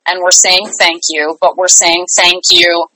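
Lower-pitched middle-aged woman, earnest and emphatic. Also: flat delivery, unhurried, sharp tone, a little hiss or hum, rough recording. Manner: earnest, emphatic repetition of phrases. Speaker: interview guest, life and business coach. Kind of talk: conversational interview answers.

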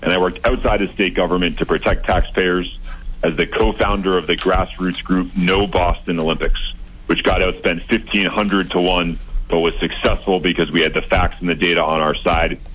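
A man calling into a radio show as a candidate, delivering a polished, confident campaign pitch. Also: fairly even intonation, fairly quick, a bit bright, husky, low-quality recording.

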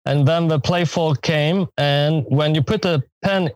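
Man, slow halting speech, raised pitch, dry sound. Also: no room sound. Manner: slow, halting, with many pauses. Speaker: guest. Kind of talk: podcast interview.

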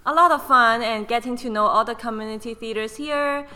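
Bright-voiced woman with a Hong Kong accent. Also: fairly high pitch, a little fast. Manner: friendly, explanatory, frequent ums. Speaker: guest costume designer. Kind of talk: podcast interview.

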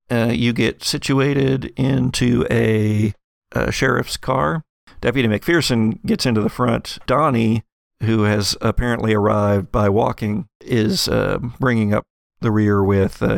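An American man improvising descriptive narration as game master of a tabletop story, in a halting delivery. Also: mid-range voice, steady tone, gravelly, dry recording. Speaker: game master of an actual-play audio drama.

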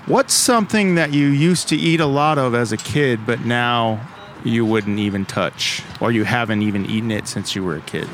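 A man's voice: medium pitch, moderate pace, slight background noise. Upbeat man hosting a podcast. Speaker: podcast host. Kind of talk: casual chat.

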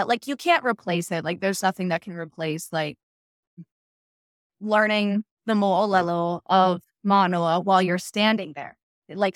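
A woman's voice: fairly low pitch, fairly bright tone, dry recording, studio quality. A woman from the continental United States giving her views casually and emphatically as a panel guest.

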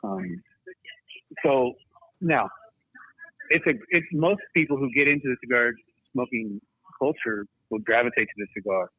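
Husky man on a tinny phone-like line. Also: medium pitch, a little slow, long pauses, dry recording. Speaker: panel guest. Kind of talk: casual commentary.

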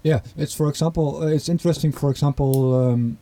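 Halting Dutch-accented man, dark voice. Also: on the high side, fairly even intonation. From east of the Netherlands. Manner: hesitant, halting with many fillers. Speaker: guest. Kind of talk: casual conversation.

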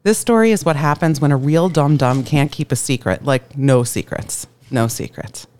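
Woman co-host reading a case, smooth voice, matter-of-fact. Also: sits low, normal speed, darkish tone. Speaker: podcast co-host. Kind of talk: reading out a case in casual chat.

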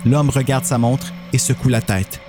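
A man's voice: mid-range voice, fairly even intonation, normal speed. A man reading scripted story narration. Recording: music clearly under the narration.

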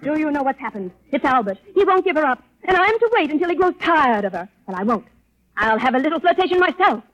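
An actress playing a passionate, proud, temperamental opera diva in a theatrical, imperious 1930s radio drama style. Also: female voice, high voice, big pitch swings, quick pace, a bit bright. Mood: excited, defiant. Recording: muffled old 1930s radio recording.

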